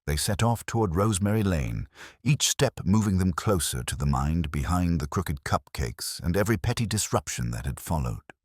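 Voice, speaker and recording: A man's voice: low-pitched, no room sound, clean studio sound. A man reading scripted story narration in a formal, literary storytelling manner.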